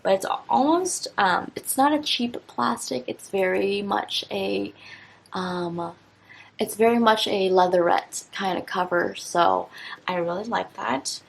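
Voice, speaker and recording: A woman in her twenties, a teacher, talking through a stationery unboxing in a relaxed, rambling way full of fillers and restarts. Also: medium pitch, creaky, a little hiss or hum, rough recording. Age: 20s. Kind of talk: casual unboxing vlog.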